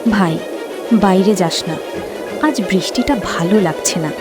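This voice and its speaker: A woman voicing a gentle, protective elder sister from a poor village in a fairy tale cartoon. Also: medium pitch, unhurried, loud music behind the voice, close to an everyday voice. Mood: worried.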